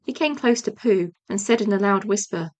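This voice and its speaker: A woman's voice: mid-range voice, a little fast, darkish tone. A woman narrating a children's audiobook as a scripted storyteller.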